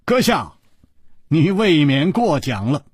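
A man's voice: fairly high pitch, very animated, slow pace, husky, no echo, studio quality, full character voice. A man voicing a composed, smiling martial-arts hero in an audiobook, speaking with teasing courtesy and assurance. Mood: calm, wry.

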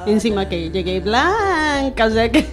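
A woman from Colombia chatting on a radio panel, lively and laughing often. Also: fairly high pitch. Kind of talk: casual radio chat.

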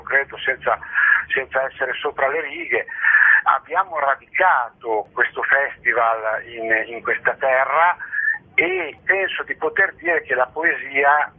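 A man's voice: medium pitch, husky. A man being interviewed as a festival organiser, explaining things in an earnest, reflective way and often rephrasing himself. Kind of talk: radio interview, spontaneous explanation. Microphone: phone call. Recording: band-limited telephone line on radio.

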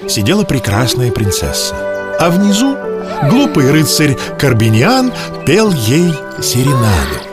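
Expressive male storybook narrator. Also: medium pitch, measured pace, over loud music.

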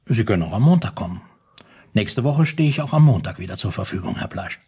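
An actor playing a matter-of-fact male private detective in a German radio play, husky, questioning and reporting in a measured, businesslike way. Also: fairly low pitch, lively intonation, warm tone.

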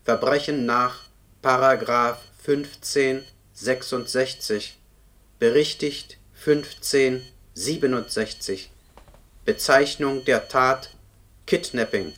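Dry bureaucratic man, mid-pitched, monotone record reading, radio drama, slightly noisy recording. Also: slow. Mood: dry, official. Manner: monotone, formal, spells out punctuation. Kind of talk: German radio play acting, document read-out. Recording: older radio drama, noticeable background noise.

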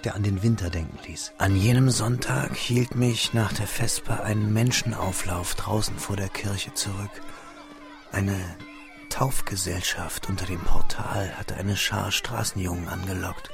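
Casting: reflective man recalling youth, lowish gravelly voice, measured literary radio drama narration. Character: grown man quietly recalling his boyhood at fifteen.

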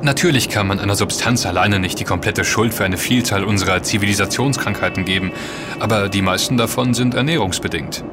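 A man's voice: lowish voice, a little fast, music in the background, close to an everyday voice. An actor playing an earnest, knowledgeable man who explains and warns emphatically in a scripted audio drama. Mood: earnest warning. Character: earnest, knowledgeable man warning his friends.

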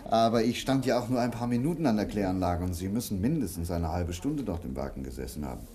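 An actor playing an earnest, excitable doctor in a German radio play, courteous and hesitant, often repeating his words. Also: on the low side, quick pace, lightly acted. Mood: relieved, polite. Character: earnest male doctor investigating strange disturbances, courteous, excitable.

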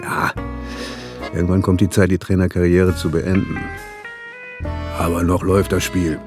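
An older actor playing an ageing, world-weary police officer in a German radio crime drama, musing colloquially and grumbling wistfully. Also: male voice, low voice, little pitch movement, moderate pace, music in the background, lightly acted. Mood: wistful, resigned.

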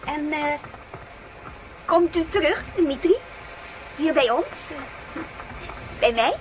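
A shy, smitten young village girl in a radio drama. Her voice is high and she speaks hesitantly, bashful yet eager, over clear scene noise. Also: animated, slow pace, poor audio. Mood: shy longing. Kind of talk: Dutch radio drama acting.

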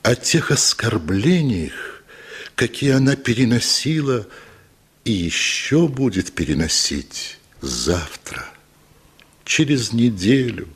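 Husky, slow, expressive male storyteller. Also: fairly low pitch. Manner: measured, dramatic storytelling. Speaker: narrator. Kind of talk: expressive literary narration for a radio play.

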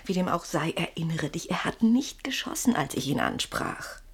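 An actress playing a bold, practical, impatient woman in a German radio play, pointed and insistent, with a husky, breathy voice. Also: on the low side, big pitch swings, normal speed, full character voice. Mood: defensive, reasoning.

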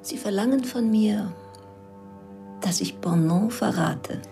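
A woman's voice: medium pitch, takes it slowly, creaky, mellow, faint music behind. An actress playing a proud, guarded elegant woman who answers in a clipped, defensive way. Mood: guarded, cold. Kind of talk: radio play acting.

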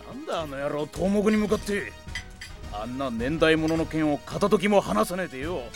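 A scrappy bandit henchman for an audio drama. The voice is high with plenty of fry. He speaks in coarse slang, with clear music behind him. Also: lively intonation, normal speed. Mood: grumbling. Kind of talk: anime-style audio drama acting.

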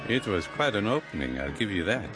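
A dry, knowing male critic in a radio drama. His voice is deep and his delivery wry. Music plays clearly in the background. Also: sing-song, brisk.

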